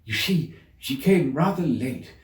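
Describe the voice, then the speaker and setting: A timid storybook rabbit, acted out by a man, speaks in a roomy space, a little off the mic. The voice is hushed and anxious, halting and whispering as if afraid of being overheard. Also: medium pitch, lively intonation, distant mic, pitched above the performer's own voice, close to an everyday voice. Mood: anxious, secretive. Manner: hurried, nervous, halting.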